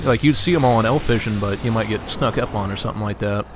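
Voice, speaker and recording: A man's voice: on the low side, quick pace. Man rambling casually over a game. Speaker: gameplay commentator. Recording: faint background game noise. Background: game sound.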